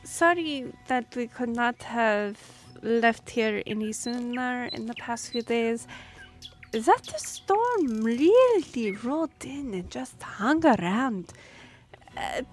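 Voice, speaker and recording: A woman's voice: a little high, animated, takes it slowly, soft background music. A woman playing an earnest, easily flustered young half-elf royal liaison in a fantasy audio roleplay, with a stammering, pleading manner. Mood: polite, businesslike. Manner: breathy sighs, stammering, pleading.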